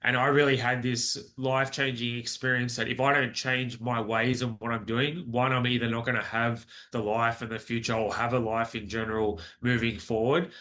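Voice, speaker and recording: Australian man in his forties, bright tone. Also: mid-range voice, flat delivery, normal speed, few pauses. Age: 40s. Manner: earnest, rambling with many restarts. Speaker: guest. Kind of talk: podcast interview, personal story.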